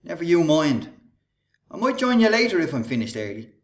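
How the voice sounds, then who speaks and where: A man's voice: fairly high pitch, very animated, brisk. An actor playing a scheming, menacing Irish workman and ringleader in a radio play, with a taunting, sarcastic, bossy manner. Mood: impatient, bossy.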